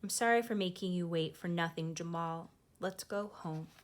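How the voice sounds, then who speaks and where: A reluctant big brother from a children's storybook, voiced by a woman. He talks in an offhand, low-key grumble, as if barely pulled away from his phone. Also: normal speed, close to an everyday voice. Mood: apologetic, subdued. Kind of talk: children's picture-book character voice.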